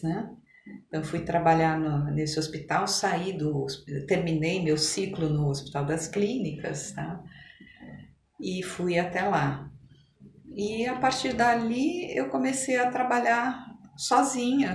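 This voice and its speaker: A middle-aged woman talks conversationally as an interview guest, explaining things with pauses to search for words. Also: lowish voice. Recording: echoey room, clear background noise.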